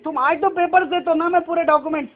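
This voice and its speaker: A man's voice: extremely high pitch, fairly even intonation, quick pace. A man with a Hyderabadi accent making a prank phone call, speaking in a cheeky, insistent, mock-earnest manner. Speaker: prank caller. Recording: muffled phone call recording.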